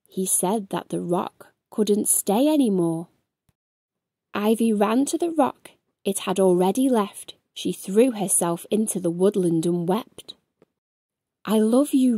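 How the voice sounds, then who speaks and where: Unhurried, mellow woman reading a storybook. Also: mid-range voice, creaky, dry recording, clean studio sound. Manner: measured bedtime-story delivery in verse. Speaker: storybook narrator. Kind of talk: rhyming picture book read aloud.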